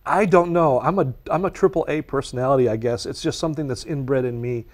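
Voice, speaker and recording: Czech-native male guest, mid-pitched, eager, passionate talker on nature. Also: quick pace, a little mellow. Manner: earnest and passionate, speaks at length. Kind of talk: conversational interview answers.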